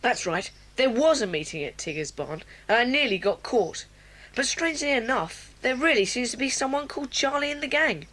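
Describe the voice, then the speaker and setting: A woman voicing a plucky schoolboy who reports what happened in a steady, plain, matter-of-fact way in a 1980s children's audio drama. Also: a little high, normal speed, low-quality recording.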